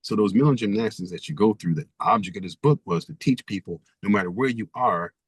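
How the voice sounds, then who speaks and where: A man's voice: fairly low pitch, brisk, slightly rough, no room sound. A man guest author in an interview, speaking emphatically and stacking rhetorical questions. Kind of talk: interview conversation.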